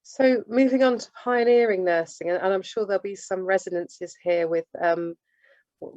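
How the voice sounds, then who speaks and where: Low-voiced woman giving a halting lecture. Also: darkish tone, no echo. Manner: halting, with many restarts and self-corrections. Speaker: speaker giving a talk on nursing history. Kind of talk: informal lecture. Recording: dull lo-fi dry recording.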